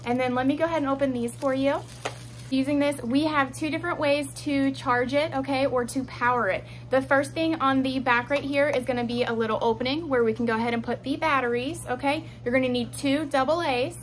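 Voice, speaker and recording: A woman in her thirties with a high voice walks through a product in a chatty, instructive way. She often checks in with the listener, and there is faint noise behind her. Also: steady tone, brisk. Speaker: product reviewer. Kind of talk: product demonstration to camera. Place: small indoor room at home, seated.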